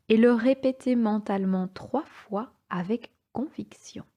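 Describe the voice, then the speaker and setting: Female meditation guide, soft mellow tone, very slow and calm delivery, short phrases with spacious pauses. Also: mid-range voice. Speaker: yoga nidra instructor.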